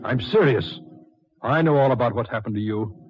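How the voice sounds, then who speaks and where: An actor in a 1940s radio drama playing a scheming, murderous doctor who is cold but easily rattled. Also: male voice, mid-range voice, normal speed, darkish tone, breathy edge, lightly acted. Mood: coldly bargaining. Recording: dull, muffled 1940s radio recording.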